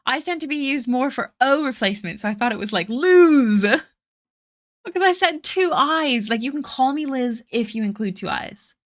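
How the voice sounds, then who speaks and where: A woman's voice: sits high, expressive, moderate pace. Giggly young woman streaming her art. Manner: giggly, self-deprecating, chatting to viewers. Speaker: artist streaming live. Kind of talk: casual live-stream chat while drawing.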